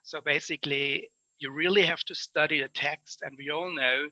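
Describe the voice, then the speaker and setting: A man's voice: fairly high pitch, steady tone, normal speed, bright, forceful tone, no echo, low-quality recording. A man with a German accent, a native German speaker, summing up an online conference with enthusiasm, often repeating ideas in chains of near-synonyms. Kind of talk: spoken conference summary. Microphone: online conference call.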